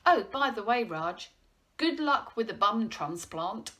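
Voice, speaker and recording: A woman's voice: fairly high pitch, very animated, slow pace, bright, forceful tone, exaggerated voice. A woman voicing a curious, cheeky, friendly schoolboy who asks questions in a children's comedy audiobook.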